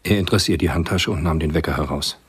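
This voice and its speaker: A man narrating a German radio play as a literary storyteller, with a husky voice. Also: rumbling low, quick pace.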